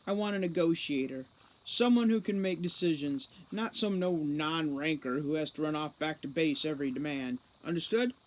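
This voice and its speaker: A woman's voice: low-pitched, moderate pace, lightly acted, low-quality recording. A woman reading a storybook part as a huge male bodyguard, curt, commanding and dry. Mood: commanding, impatient.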